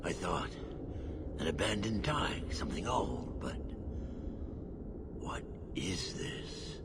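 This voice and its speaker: Very deep, gruff dwarf leader. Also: male voice, sing-song, slow, very noisy, full character voice. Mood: awed. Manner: gruff, commanding. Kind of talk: dramatic video game dialogue.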